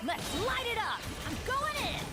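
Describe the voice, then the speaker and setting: Casting: bold young fantasy heroine, high swooping battle cries, theatrical, loud music behind. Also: female voice, big pitch swings, a little slow, heavily acted. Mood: excited. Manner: exclamatory, theatrical. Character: spirited young female fantasy game fighter, bold and showy. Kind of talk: video game battle callouts.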